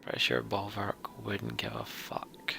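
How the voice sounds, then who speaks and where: A man hosting a game playthrough, speaking in a hushed voice as he comments and narrates the story text. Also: lowish voice, little pitch movement, a little slow, vocal fry, in a whisper. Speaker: let's play host. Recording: game music behind the voice.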